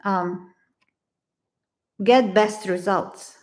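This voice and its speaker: A woman in her sixties speaks with a Ukrainian accent at a slow pace. She gives a tarot reading in a living room, choosing her words with care and pausing as she goes. Her pitch sits in the middle for a woman. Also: fairly even intonation, darkish tone. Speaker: tarot reader.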